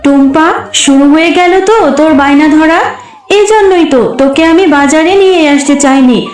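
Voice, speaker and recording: A woman plays a poor, fretful animal mother from a cartoon. Her voice is high, chiding and imploring, with faint music behind it. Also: a little fast, a little mellow, natural voice. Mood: scolding. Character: poor, scolding but soft-hearted young cartoon animal mother. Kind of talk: children's cartoon dub in Bengali.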